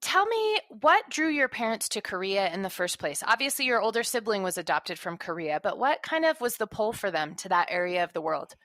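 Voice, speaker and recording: A woman hosts a podcast with a bright, forward tone. She asks questions, encourages her guest and laughs along, her pitch sitting in the middle range. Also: sing-song, brisk, few pauses. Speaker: podcast host. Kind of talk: conversational podcast interview.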